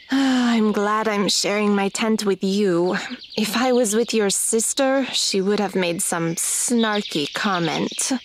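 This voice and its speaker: A woman playing a serious, grumpy teenage girl with a dry, deadpan manner in an audio drama. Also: mid-range voice, slow, background noise, natural voice. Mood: dry, irritated.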